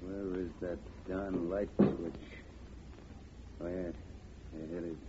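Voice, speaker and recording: A man's voice: deep voice, level tone, slow. An American man playing a surly, menacing small-time henchman in a 1940s radio crime drama. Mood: grumbling. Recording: very noisy, muffled old radio recording.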